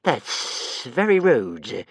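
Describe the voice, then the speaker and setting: A man voicing a slow, hissing tentacled monster. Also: mid-range voice, sing-song, vocal fry, breathy edge, dry recording, studio quality, full character voice. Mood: sulky. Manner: slow, drawn-out, whining hiss.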